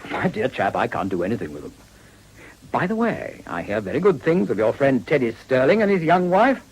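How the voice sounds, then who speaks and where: Swooping, genial British prime minister. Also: male voice, medium pitch, big pitch swings, fairly quick, husky, poor audio. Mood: hearty, avuncular. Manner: hearty, effusive, patrician. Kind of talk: period radio drama acting.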